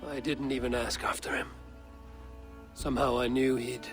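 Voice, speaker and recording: Man acting a calm, blunt Viking warrior. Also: mid-range voice, moderate pace, faint music behind, lightly acted. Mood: solemn, sincere. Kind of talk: video game cinematic acting.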